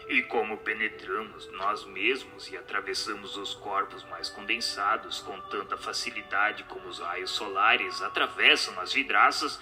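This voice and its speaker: A man voicing a solemn spirit in a radio drama, speaking in a measured, grave, didactic way. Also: lowish voice, lightly acted. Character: solemn spirit answering questions from the beyond.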